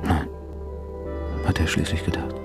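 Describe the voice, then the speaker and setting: An actor playing a brooding narrator of a changed man's thoughts, deliberate and pausing heavily, in a radio drama. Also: lightly acted. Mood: brooding, grave. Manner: deliberate, heavy pauses. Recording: loud music behind the voice.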